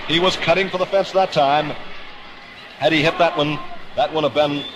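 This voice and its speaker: Male vintage baseball play-by-play caller, higher-pitched, theatrical wording, noisy old radio sound. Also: fairly even intonation, moderate pace, highs cut off. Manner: dramatic, florid phrasing. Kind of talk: 1950s radio sports commentary. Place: outdoor ballpark broadcast position. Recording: noisy 1950s AM radio broadcast.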